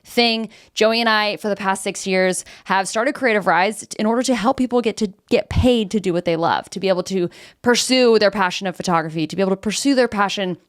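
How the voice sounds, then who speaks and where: A woman with an American accent speaks as a podcast co-host, close and dry with no room sound. Her voice is medium in pitch, and she is enthusiastic and encouraging toward listeners. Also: brisk, smooth, no room sound. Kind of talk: conversational outro addressed to listeners.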